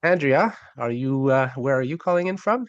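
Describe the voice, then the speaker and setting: Lively, expressive podcast host, dry sound. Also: medium pitch, moderate pace, clear, resonant tone, dry recording, highs cut off. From Canada. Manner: enthusiastic, frequent laughs and fillers. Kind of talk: casual interview hosting.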